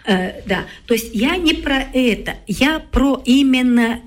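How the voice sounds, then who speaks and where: Measured woman lecturing on parenting. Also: high voice, lively intonation. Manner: measured and lecturing, with frequent "right?" tags and pauses. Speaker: expert guest on parenting. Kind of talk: explanatory talk-show discussion.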